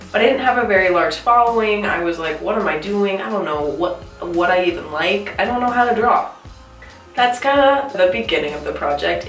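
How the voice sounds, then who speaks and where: Congested young woman, roomy far-off sound. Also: on the low side. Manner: chatty, self-questioning, giggly. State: congested from a cold. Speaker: artist vlogger. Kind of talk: casual talking-to-camera vlog. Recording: roomy echo, a bit off-mic, soft music.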